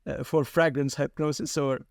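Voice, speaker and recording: A man's voice: on the high side, steady tone, moderate pace, vocal fry, dry recording, clean studio sound. A man from Lebanon hosting a podcast, speaking hesitantly with many fillers in a casual interview chat. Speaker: podcast host.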